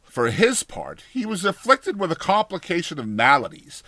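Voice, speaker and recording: Expressive man narrating gothic horror, dry sound. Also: mid-range voice, no room sound. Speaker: audiobook narrator.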